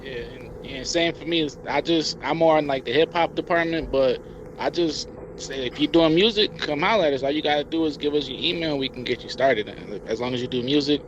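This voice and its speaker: A man's voice: a little high, a little fast, muffled recording, noisy background. A man from Hartford, Connecticut, a podcast guest talking laid-back with casual slang. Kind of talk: casual interview chat.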